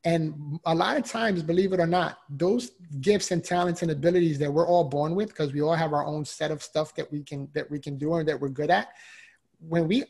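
A man's voice: on the high side, husky. A man, a motivational speaker telling his own story in an interview, talking with energy and emphatic repetition. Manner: energetic, emphatic, repeats words for drive. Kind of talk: interview answer, personal story.

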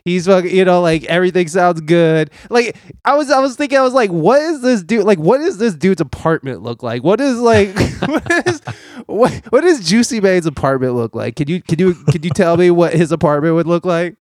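Man, podcast host, fairly high voice, excitable, profane, stumbling, dry close sound. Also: normal speed, no echo. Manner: excitable, swearing, laughing often, stumbling restarts. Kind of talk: casual podcast conversation.

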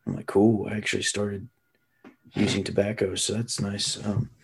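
Gravelly low-voiced young man. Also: flat delivery, moderate pace, slight background noise, low-quality recording. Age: young adult. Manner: gravelly hunched-over drawl he uses only when recording. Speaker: podcast co-host. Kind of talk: casual chat.

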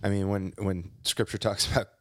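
A man co-hosting a casual podcast, speaking thoughtfully and haltingly, asking his guest questions. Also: lowish voice, flat delivery, fairly bright tone, no echo, clean studio sound. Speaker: podcast co-host.

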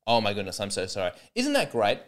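Animated rambling man. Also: on the low side, quick pace. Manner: rambling, sarcastic, many false starts. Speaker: comedian podcast host. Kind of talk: rambling solo comedy podcast.

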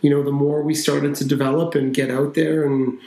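A man's voice: fairly high pitch, brisk. Talkative man in a roomy echo. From Canada. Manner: relaxed, talkative, with frequent fillers and restarts. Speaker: company founder and CEO. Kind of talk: casual podcast interview.

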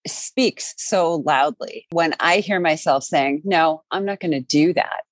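A woman hosting a podcast, chatting in a calm, reflective way. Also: low-pitched, unhurried, no echo. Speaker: podcast host. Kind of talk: casual chat.

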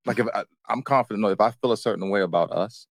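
Male American co-host, low register, dry close sound, easygoing but firm, circling back over his phrase openings in loose conversation. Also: quick pace, creaky, no echo. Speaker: co-host of a couple's relationship show. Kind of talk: casual, unscripted relationship talk.

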